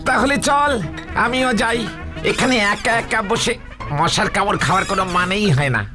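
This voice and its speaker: High-pitched excitable cartoon uncle. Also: male voice, normal speed, over music. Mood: breezy. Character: excitable, boastful, idle bachelor uncle. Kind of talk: animated cartoon acting.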